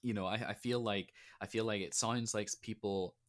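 A man's voice: fairly low pitch, fairly even intonation, moderate pace. A man hosting a podcast, thinking aloud in a reflective, conversational way as he interviews his guest. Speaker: podcast host.

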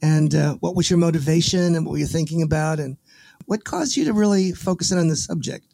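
A man hosting a podcast, folksy and energetic, often restarting his words, with a dry close-mic sound. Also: on the high side, fast, creaky, dry recording. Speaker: podcast host. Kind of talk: casual interview with promo reads.

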